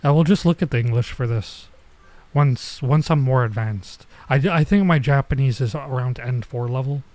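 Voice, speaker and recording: A man doing casual let's-play commentary as a streamer, thinking aloud in a halting way and mixing in Japanese phrases. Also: mid-range voice, a little mellow, dry recording.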